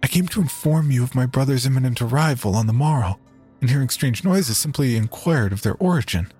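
A man's voice: mid-range voice, lively intonation, brisk, a little mellow, slightly rough, faint music behind, voice pushed up. A man voicing a formal, flustered nineteenth-century father who speaks in old-fashioned phrases. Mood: sheepish.

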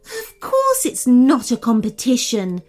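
A woman's voice: sits high, wildly swooping pitch, slow pace, soft background music, voice pushed up, exaggerated voice. A woman voicing a haughty, irritable female unicorn in a children's story, snapping and complaining sharply. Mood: snappish.

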